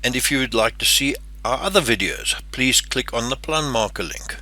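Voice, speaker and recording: A man's voice: mid-range voice, moderate pace, pushed and bright. An older man from South Africa with a South African English accent, hosting his own channel in a scripted, earnest and somewhat stiff delivery. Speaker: channel host. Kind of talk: scripted talk to camera.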